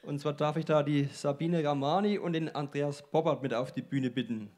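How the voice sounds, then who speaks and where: A man's voice: fairly high pitch, fairly even intonation, normal speed, a little mellow. A man with a Franconian German accent hosting a light-hearted interview on stage. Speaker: event host interviewing speakers on stage.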